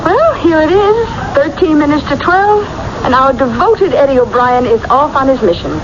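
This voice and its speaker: A high-pitched, very animated wife, jealous and sharp-tongued, in a vintage radio drama. She pleads and needles with feeling. The recording is dull and clearly noisy. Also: normal speed. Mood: sarcastic, accusing. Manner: emotional, sarcastic, pleading.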